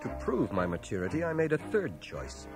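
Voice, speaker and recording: A man's voice: medium pitch, huge pitch swings, normal speed, over loud music. A man acting as an earnest, stubborn fellow from a small town, the lead and narrator of a radio drama taped on cassette. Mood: wry, reflective. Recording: 1980 radio drama from home cassette transfer.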